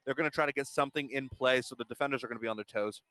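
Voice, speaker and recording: Smooth-voiced male softball color commentator. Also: mid-range voice, racing, no echo. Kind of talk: softball analysis between pitches.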